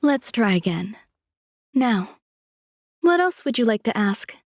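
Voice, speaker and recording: A woman playing a poised, secretive scientist in a video game, coolly polite, with formal, precise and measured speech. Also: on the high side, sing-song, no room sound, clean studio sound, full character voice. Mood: amused, teasing. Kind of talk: scripted video game character dialogue. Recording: music under the voice.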